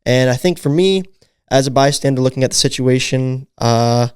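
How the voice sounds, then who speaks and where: A man's voice: mid-range voice, normal speed, clear, resonant tone, dry recording, studio quality. A man hosting a casual sports podcast, leading the talk and asking questions. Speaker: podcast host.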